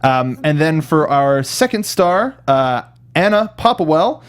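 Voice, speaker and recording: A man with a slightly high voice talks as co-host of a movie review show. He chats casually and conversationally, slipping into imagined dialogue as he explains things. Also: sing-song, a little slow, smooth. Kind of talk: casual chat breaking down a movie.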